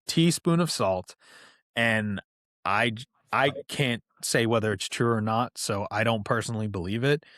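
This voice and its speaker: A man's voice: medium pitch, fairly bright tone, no room sound, studio quality. A man guesting on a podcast, telling stories in a loose, chatty way with lots of "like" and casual swearing. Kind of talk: casual storytelling chat.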